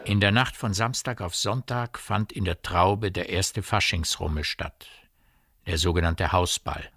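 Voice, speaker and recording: A man's voice: sits low, a little slow, creaky, a bit bright, breathy edge, dry recording, clean studio sound. A man giving scripted literary narration as the storyteller of a radio drama.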